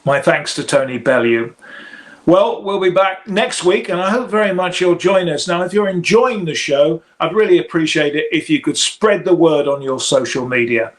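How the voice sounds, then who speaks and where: Older guy hosting, higher voice, short questions then a polite formal goodbye. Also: lively intonation, moderate pace. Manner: brief prompting questions, formal sign-off. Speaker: show host. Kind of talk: interview and sign-off.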